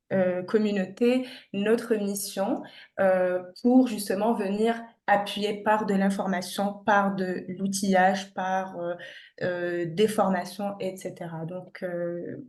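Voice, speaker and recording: A woman's voice: medium pitch, warm tone. A woman who works at a nonprofit answers in an online training, hesitantly, repeating words as she goes. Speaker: nonprofit worker, training participant. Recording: roomy, echoing sound.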